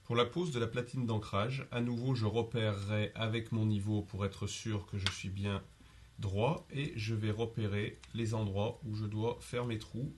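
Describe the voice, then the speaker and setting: A man's voice: on the low side, steady tone, a little slow, slight background noise. Methodical man explaining DIY steps. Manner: methodical, explains each step in first person. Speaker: DIY instructor. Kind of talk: step-by-step how-to narration.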